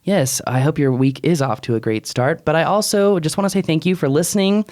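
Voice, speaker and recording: A man's voice: fairly high pitch, fairly quick, a little mellow. A man in his thirties talking casually and bluntly as a podcast co-host, close on a studio mic. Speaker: podcast co-host and drone expert. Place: small wood-panelled podcast room. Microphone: close studio mic on boom arm.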